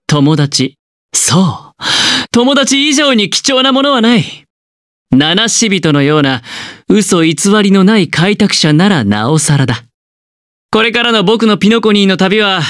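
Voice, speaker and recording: A man voicing a suave, smug young gambler in a video game, with a smooth voice and a teasing, calculating, theatrically polite charm. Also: high-pitched, fairly bright tone, no room sound, studio quality. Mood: smug, challenging.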